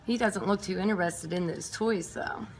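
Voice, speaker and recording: A woman reading a picture book aloud to nursery school children, expressive and emphatic, with chatty asides. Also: mid-range voice, normal speed, slight background noise. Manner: emphatic, clearly paced for young children.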